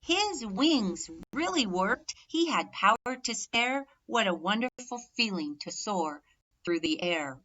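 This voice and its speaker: A woman reading a rhyming children's story aloud expressively, measured and emphatic on the rhymes. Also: mid-range voice, big pitch swings, fairly bright tone, lo-fi sound. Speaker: storybook reader.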